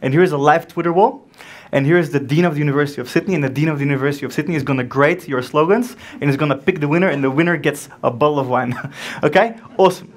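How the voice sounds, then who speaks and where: A young Belgian man with a French accent, giving an animated startup conference talk on stage and acting out quick dialogues. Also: a little high. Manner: animated, acts out dialogue in quick back-and-forth. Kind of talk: energetic keynote storytelling. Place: dark event room with a stage and audience.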